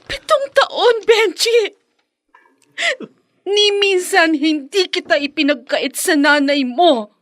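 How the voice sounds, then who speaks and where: A woman's voice: very high-pitched, lively intonation, slow, a bit bright, full character voice. An actress playing a hurt, fed-up wife and mother in a radio drama, tearful and impassioned. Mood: anguished.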